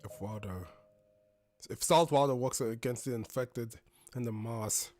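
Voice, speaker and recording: A man's voice: medium pitch, a little slow. A man giving casual, off-the-cuff reaction commentary in muttered, exasperated asides. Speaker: host reacting to an audio drama. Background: drama music playing.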